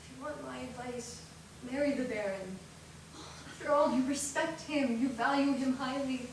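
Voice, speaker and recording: An actress as a gentle, soothing elder sister. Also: female voice, high-pitched, animated, moderate pace. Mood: earnest. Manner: soft, hushed, consoling. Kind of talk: stage acting. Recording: echoey stage from far off, faint noise.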